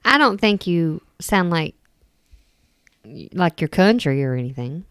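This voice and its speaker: A woman from the American South co-hosts a podcast with a smooth, clear voice. Her pitch moves around a lot. She is self-deprecating and laughs easily, and the recording sounds close and dry. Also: big pitch swings, measured pace, fairly bright tone, no room sound. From Southern United States. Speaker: podcast co-host. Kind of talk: casual chat.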